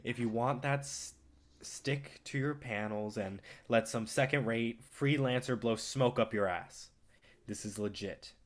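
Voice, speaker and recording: A man acting out a brash film distributor in a staged play reading. He is a smooth manipulator with a mid-pitched voice, swaggering and swearing as he pushes his pitch. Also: a little slow, natural voice. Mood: pushy, persuasive. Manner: swaggering, profane, persuasive.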